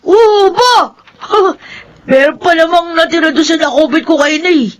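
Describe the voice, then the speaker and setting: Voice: extremely high pitch, normal speed. An actor in a Filipino radio drama playing a defiant, whiny schoolboy who argues and pleads. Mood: brash, sulky. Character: whining, defiant schoolboy son who skips class.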